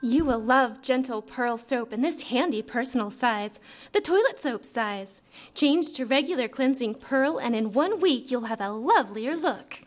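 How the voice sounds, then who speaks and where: A woman's voice: fairly high pitch, expressive, measured pace. A woman voicing a polished 1950s commercial announcer, making scripted beauty promises in a radio drama's sponsor spot. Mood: enticing.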